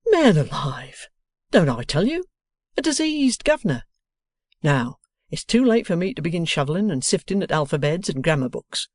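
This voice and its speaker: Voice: medium pitch, very animated, normal speed, a little mellow, no room sound, studio quality, pitched above the performer's own voice. Mr Boffin, hearty old man as a reader puts him on. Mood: eager. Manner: broad, exclaiming, mangles words. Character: excitable uneducated retired old man, hearty. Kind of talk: audiobook character reading.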